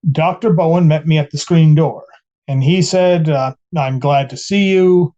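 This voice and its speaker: Man playing mellow, plainspoken police witness. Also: on the high side, unhurried, lo-fi sound, lightly acted. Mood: matter-of-fact. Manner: plain, matter-of-fact, deferential answers. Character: plainspoken, deferential male 1890s police captain on witness stand. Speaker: voice actor reading a trial transcript. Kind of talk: dramatized courtroom testimony reading.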